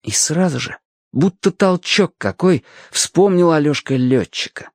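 Expressive male storybook narrator, dry sound. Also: fairly high pitch, unhurried, no room sound. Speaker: audiobook narrator.

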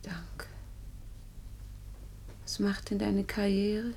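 An actress in a German radio drama playing a fragile, desperate young jilted woman, halting and often hushed to a whisper. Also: mid-range voice, flat delivery, creaky, a little hiss or hum. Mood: hurt, probing.

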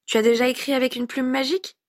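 A woman voicing a tough female law officer who softens around a child, in a read-aloud story. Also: high-pitched, level tone, fast, pitched above the performer's own voice, close to an everyday voice. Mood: softened, gentle.

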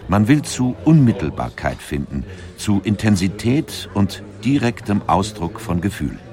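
A man reading scripted documentary narration in a measured, articulate storytelling manner. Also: lowish voice, very animated, a little mellow.

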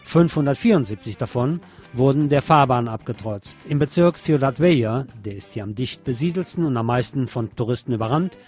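A man's voice: medium pitch, lively intonation, fairly quick, darkish tone, breathy edge. Sardonic male radio newsreader over music. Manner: sardonic, opinionated. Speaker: radio news presenter. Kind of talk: radio news reading with sarcastic commentary. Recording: music bed under the voice.

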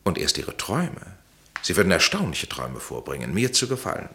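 A commanding psychiatrist in a radio play, with a very low, gravelly voice. He lectures deliberately and with dry irony, his intonation swinging widely for emphasis. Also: huge pitch swings, pushed and bright, heavily acted. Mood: incisive, lecturing. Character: domineering male psychiatrist, blunt, dryly ironic, coolly authoritative. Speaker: actor. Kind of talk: German radio play acting.

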